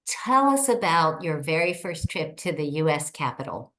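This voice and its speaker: A woman's voice: low-pitched, sing-song, moderate pace, fairly bright tone. A middle-aged woman hosting a short interview over a video call, introducing her guest and asking questions in an upbeat, enthusiastic way. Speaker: host, state senator.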